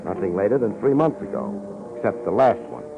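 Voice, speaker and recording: A man's voice: on the low side, big pitch swings, a little fast, gravelly. A man playing a wry, tough private detective in an old-time radio drama, with sardonic, slangy delivery. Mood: wry narration. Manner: sardonic, slangy, hard-boiled. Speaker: private investigator narrator. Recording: muffled vintage radio recording with music.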